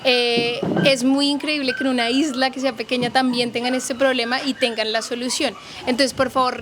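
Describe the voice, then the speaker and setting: A woman veterinarian with a Colombian accent, earnestly urging listeners in a news interview. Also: high voice. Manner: earnest, persuasive, addresses listeners directly. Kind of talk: news interview, public appeal. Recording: background noise from the surroundings.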